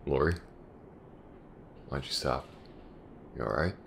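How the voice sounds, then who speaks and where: Attore nel ruolo di un detective dalla voce gravissima e roca. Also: male voice, very deep voice, takes it slowly, vocal fry, fairly bright tone, slight background noise, full character voice. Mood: concerned. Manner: blunt, wry, skeptical. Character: gruff, skeptical, watchful male detective. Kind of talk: audio roleplay drama acting.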